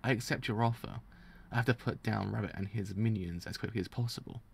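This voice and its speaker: A man's voice: lowish voice, steady tone, fast talker, gravelly, a little hiss or hum. A man voicing a cunning, disdainful beast fighter with venom, scheming and contemptuous, in a story reading. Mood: calculating. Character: cunning, disdainful poisonous beast fighter who trusts nobody.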